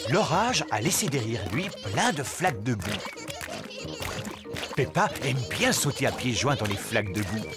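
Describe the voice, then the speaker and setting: A narrator telling a cartoon story in a clear, storybook way. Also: medium pitch, sing-song, moderate pace. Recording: studio voice-over over music.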